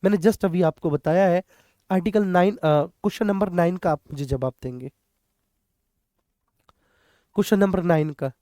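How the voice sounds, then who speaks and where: Mellow man teaching an exam class. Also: sits high, moderate pace, pauses often, dry recording, clean studio sound. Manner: repetitive, instructive, addressing students directly with check-in phrases; mixes in English terms. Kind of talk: question-and-answer exam lesson.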